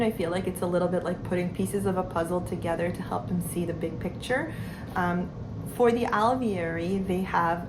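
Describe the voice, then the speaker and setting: A woman in her thirties, a homeschooling mother, talking to camera in an earnest, unhurried way with soft fillers. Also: fairly low pitch. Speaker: homeschooling mother sharing curriculum picks. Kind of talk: casual talk to camera. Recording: steady background noise.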